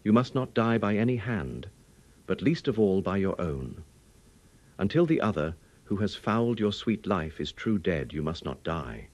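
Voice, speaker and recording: A man's voice: sits low, big pitch swings, moderate pace, a little mellow, dull audio. A man playing a grave, protective, fatherly older doctor in an audiobook, pleading solemnly in archaic, formal phrases. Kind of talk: dramatic audiobook character reading.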